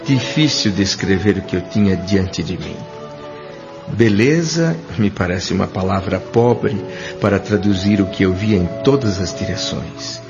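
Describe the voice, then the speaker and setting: A man with a Brazilian accent playing a thoughtful doctor who narrates his memories in an audio drama, in a measured, literary way. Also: low voice. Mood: solemn, reflective. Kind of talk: scripted first-person audio drama narration. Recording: dull lo-fi audio with music underneath.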